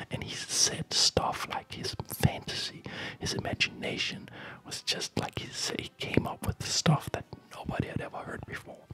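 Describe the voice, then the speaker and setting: A man speaking in a breathy ASMR whisper, explaining things casually in a halting, digressive way. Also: medium pitch, pushed and bright, poor audio. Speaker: ASMR video host.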